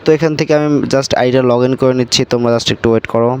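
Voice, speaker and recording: A man's voice: medium pitch, fairly even intonation, rapid-fire, darkish tone, a little hiss or hum. A man presenting a casual step-by-step screen tutorial, repeating his instructions as he goes. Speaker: YouTube tutorial host.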